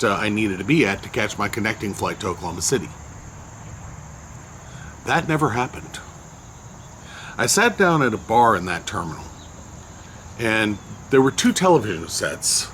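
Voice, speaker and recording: An older man with a loud voice casually recounting a memory, unhurried and rambling with many fillers. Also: fairly low pitch, slight background noise. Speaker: storyteller recounting a personal memory. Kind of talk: casual spoken recollection.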